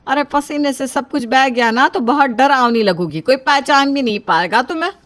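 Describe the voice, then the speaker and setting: Woman as an exasperated, high-voiced mother. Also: animated, moderate pace, a bit bright. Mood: scolding. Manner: scolding, pleading. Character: exasperated middle-aged mother of the bride, bossy, worried.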